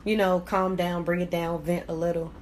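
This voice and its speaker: A woman telling a personal story casually and candidly, blunt and profane, with lots of slang and animated acting-out of her reactions. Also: fairly low pitch, moderate pace, a little hiss or hum. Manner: animated, acts out quoted reactions. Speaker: storyteller hosting own vlog.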